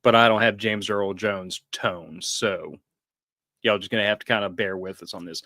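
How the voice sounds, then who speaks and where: A man with a gravelly voice and a drawl, hosting a casual podcast chat. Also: lowish voice, bright, forceful tone, dry recording, studio quality. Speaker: podcast host.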